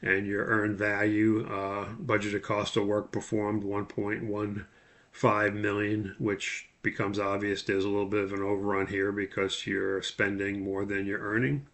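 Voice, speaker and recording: A man giving an instructional walkthrough as a course instructor, explaining in a halting way and spelling out terms. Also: fairly low pitch, monotone, a bit bright.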